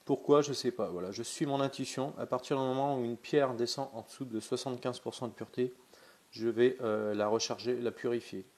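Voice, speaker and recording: A man's voice: medium pitch, steady tone, fairly quick, darkish tone. A man with a cold, casually demonstrating something in a vlog and talking in a hesitant, chatty way with many fillers and restarts. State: has a cold. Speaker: pendulum dowsing vlogger.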